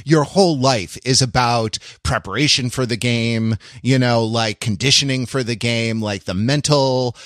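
A man with a mid-pitched voice chats casually as a podcast co-host. He makes his points emphatically, restating phrases for stress. The sound is close and dry. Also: unhurried, few pauses, fairly bright tone, no room sound.

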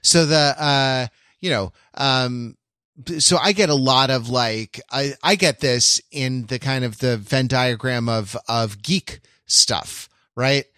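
Halting man in a dry, close recording. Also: medium pitch, sharp tone, clear, resonant tone, dry recording. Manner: halting, circling toward his point. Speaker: podcast co-host. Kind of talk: casual podcast chat.

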